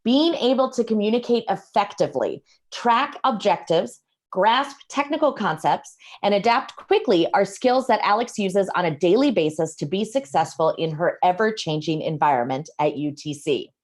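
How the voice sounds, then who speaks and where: A woman's voice: medium pitch, expressive, normal speed. Woman host reading an introduction. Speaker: event host. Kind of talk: reading a speaker introduction, then interviewing. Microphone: video call.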